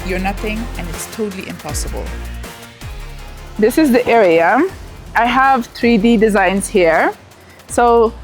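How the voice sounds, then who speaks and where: A chatty woman in her thirties, raised in the Netherlands, talks casually to the camera while walking around outdoors. Her voice sits in an ordinary middle pitch, and faint music plays behind her. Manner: chatty, excited, with fillers and restarts. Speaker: vlogger. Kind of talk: casual vlog narration. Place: outdoors at an event venue.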